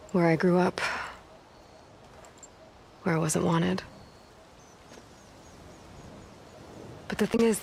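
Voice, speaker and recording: A woman's voice: lowish voice, vocal fry, a little mellow, slight background noise. An actress playing a guarded, earnest young woman adventurer in a video game cutscene, speaking naturally with hesitant, understated sincerity. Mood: reflective, tender.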